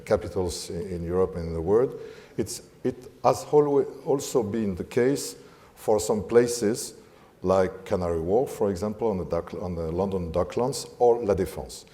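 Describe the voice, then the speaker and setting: A man with a French accent talks through a panel discussion, pausing often to find the English word. His voice sits low and mellow. It carries the long reverb of a conference hall. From France. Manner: halting, searching for English words.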